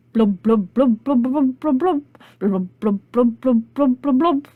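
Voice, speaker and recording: A woman's voice: high-pitched, very slow, breathy edge, no room sound, narrow, tinny audio, exaggerated voice. A woman voicing a talking brook in a children's story, burbling in repeated bubbly sounds.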